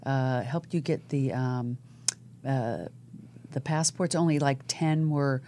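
A woman hosting a talk radio interview show, upbeat and chatty, often pausing on "uh" between thoughts. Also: rumbling low, a little hiss or hum. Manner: upbeat, warm-toned interjections, frequent filler "uh".

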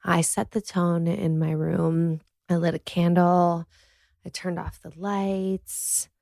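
A woman's voice: on the low side, flat delivery, takes it slowly, gravelly, darkish tone, dry recording, studio quality. A woman in her thirties hosting a casual solo podcast, talking in a reflective, easygoing way, a bit congested from a cold. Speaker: podcast host. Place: dim bedroom.

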